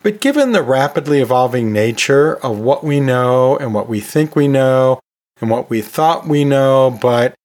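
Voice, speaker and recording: A man's voice: mid-range voice, expressive, unhurried, darkish tone. A man hosting an educational podcast solo, talking in a conversational, folksy way with joking asides. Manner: folksy, jokey asides with chuckles. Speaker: podcast host, anatomy and physiology teacher.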